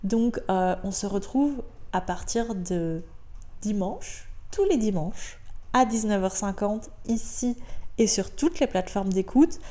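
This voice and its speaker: A woman's voice: medium pitch, slow pace, dark tone. A Belgian woman with a French accent hosting her own podcast, talking in a chatty, upbeat way with many filler sounds and repeated words. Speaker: podcast host. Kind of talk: casual solo podcast monologue. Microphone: podcast microphone.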